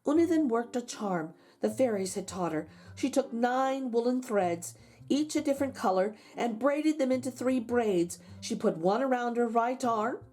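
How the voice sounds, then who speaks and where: A woman reading a children's story aloud in an expressive way with dramatic emphasis. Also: on the high side, moderate pace. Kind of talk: expressive read-aloud for children with chatty asides.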